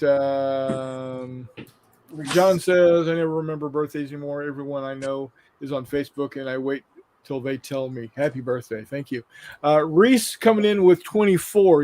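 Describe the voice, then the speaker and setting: A man's voice: on the high side, a little mellow. A man in his fifties chatting casually as a show host, blunt and free with swearing, reading out viewer comments. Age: 50s.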